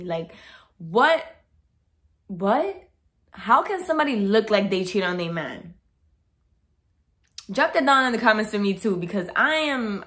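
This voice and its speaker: A young woman in her twenties speaking straight to a camera in her bedroom. She talks casually and bluntly, gesturing with her hands, at a middle pitch. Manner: blunt, outspoken, animated with hands. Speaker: vlogger. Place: bedroom, indoors.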